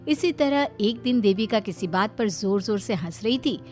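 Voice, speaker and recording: A woman giving expressive scripted narration for a cartoon story. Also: mid-range voice, normal speed, faint music behind.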